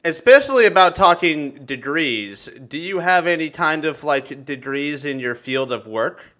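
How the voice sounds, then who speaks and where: Bright, higher-voiced male podcast host. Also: expressive, unhurried. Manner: informal, sweary. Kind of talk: casual, excitable podcast chat.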